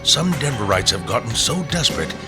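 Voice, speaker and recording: Male narrator, dramatic mock-serious mystery read, lively inflection, heavy music bed. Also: medium pitch, brisk. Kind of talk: mock true-crime mystery narration, scripted. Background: loud music.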